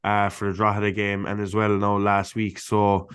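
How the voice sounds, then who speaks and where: A man with an Irish accent hosting a podcast, asking long, relaxed, loosely worded questions. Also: lowish voice, normal speed, smooth, no room sound. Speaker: podcast host. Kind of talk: casual podcast interviewing.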